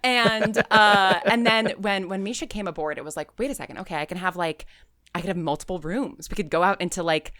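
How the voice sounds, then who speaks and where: A woman's voice: medium pitch, fairly quick, a bit bright, dry recording. An American woman talking casually as an interview guest, telling stories and acting out her own thoughts. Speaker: podcast guest, audio drama writer and creator.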